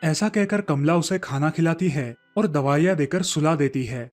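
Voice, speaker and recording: Give the voice of a man telling a children's story from a script. His pitch sits a little high for a man. Light music plays softly behind him. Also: moderate pace. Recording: faint music under the voice. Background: soft background music.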